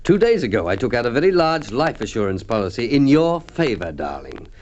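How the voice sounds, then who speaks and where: Man acting bitter, theatrical husband, wildly varied pitch. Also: sing-song, darkish tone, natural voice. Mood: sardonic. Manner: sardonic, deliberate, dramatic. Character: bitter, sardonic, theatrical husband taunting his wife. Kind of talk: Golden Age radio drama acting. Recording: muffled, noisy old radio recording with clicks.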